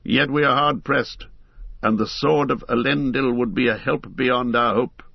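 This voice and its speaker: A man's voice: medium pitch, steady tone, unhurried, gravelly, husky, no echo, dull audio, voice pushed up. A man reading the part of a proud, blunt warrior from a besieged city.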